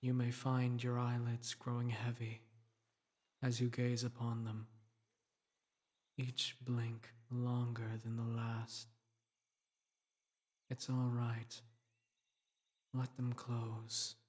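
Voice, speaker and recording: Guy doing a solemn dream king for a sleep meditation, soft soothing voice, super slow, almost flat, gently protective. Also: medium pitch, close to an everyday voice. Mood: soothing, gentle. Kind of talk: guided meditation, sleep-aid roleplay.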